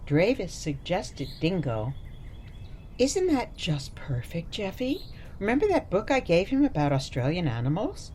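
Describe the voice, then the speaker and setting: A woman's voice: on the low side, huge pitch swings, a little slow, warm tone, a little hiss or hum. A woman playing a sweet, doting grandmother in a radio play, gushing and cutesy in manner. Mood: sweetly explaining.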